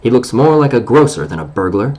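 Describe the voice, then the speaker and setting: A man's voice: medium pitch, big pitch swings, a little fast, a little mellow. A man doing a grumbling, doubtful dwarf. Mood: scornful.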